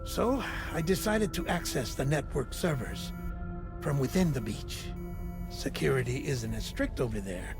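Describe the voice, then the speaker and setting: A theatrical male game character, with a swooping voice that savors every revelation. He speaks very slowly and deliberately, fond yet scheming, over clear background music. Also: mid-range voice, expressive. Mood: sly, deliberate. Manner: theatrical, drawn-out, deliberate phrasing. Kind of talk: video game cutscene acting.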